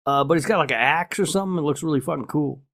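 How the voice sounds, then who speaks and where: A man's voice: on the high side, very fast, gravelly, a bit bright. Blunt, sweary man chatting casually. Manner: blunt, laid-back, foul-mouthed. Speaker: podcast host. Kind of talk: casual chat.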